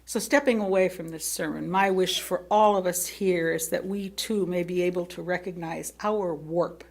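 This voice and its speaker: An American woman reads a sermon aloud as personal storytelling, speaking expressively with theatrical emphasis and pauses. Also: on the low side, creaky, darkish tone. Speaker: lay reader delivering a written sermon.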